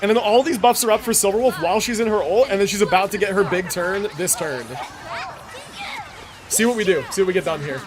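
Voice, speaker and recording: A man livestreaming a video game with casual commentary, thinking out loud in slangy, sweary talk. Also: high-pitched, brisk, crowd noise. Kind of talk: casual gaming stream commentary.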